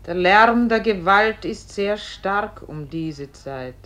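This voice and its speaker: An actress playing a calm spirit voice in a German radio play, measured, grave and oracular, on an old recording. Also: female voice, medium pitch. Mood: grave, firm. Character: calm female spirit voice guiding a dead man's soul. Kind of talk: German radio play acting, solemn and poetic.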